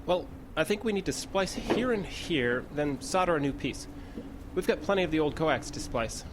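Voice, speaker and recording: A man's voice: fairly high pitch, animated, moderate pace, background noise, lightly acted. A man playing a practical, laid-back station technician in an audio drama, matter-of-fact and dry.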